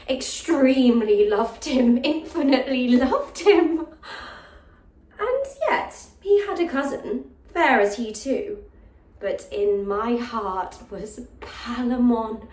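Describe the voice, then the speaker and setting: A woman's voice: fairly high pitch, darkish tone, voice pushed up. A lovestruck young maid from classical theatre, acted by a woman, speaks in a raised, emotional voice full of exclamation. She is breathless and impulsive, in heightened Shakespearean verse style. The room around her is echoey. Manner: emotional, exclaiming, with gasps and sighs. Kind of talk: classical stage monologue, Shakespearean acting.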